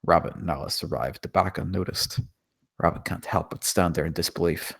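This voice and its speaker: A man's voice: low voice, fairly quick, vocal fry, fairly bright tone, husky. A man narrating a screenplay, reading out stage directions at a table read.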